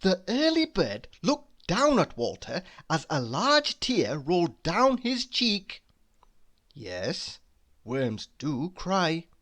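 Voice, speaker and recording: Woman reading a kids book out loud, really slow, super expressive and dramatic. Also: on the low side. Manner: deliberate, dramatic emphasis. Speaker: storybook reader. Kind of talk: expressive children's read-aloud narration.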